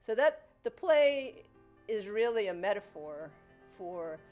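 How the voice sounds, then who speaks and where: A woman's voice: mid-range voice, big pitch swings, takes it slowly, darkish tone, soft background music. A woman talking about her play in an interview, telling stories in a reflective way. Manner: reflective storytelling. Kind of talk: interview talk.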